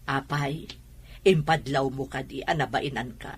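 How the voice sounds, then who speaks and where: A woman's voice: a bass voice, a little slow, slight background noise. A woman with an Ilocano Filipino accent playing an older mother in an audio drama, questioning and admonishing her grown son. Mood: scolding, questioning. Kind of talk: Ilocano radio-style drama acting.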